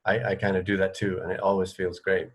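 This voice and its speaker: A man's voice: low voice, level tone, quick pace. A man hosting a casual radio interview, talking in a thoughtful, hesitant and rambling way.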